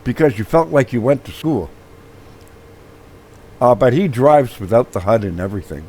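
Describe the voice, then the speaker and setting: A man with a hoarse voice who says he is losing it, chatting casually over gameplay as the host of his own channel, rambling with many fillers and restarts. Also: medium pitch, lively intonation, normal speed, mellow, slight background noise.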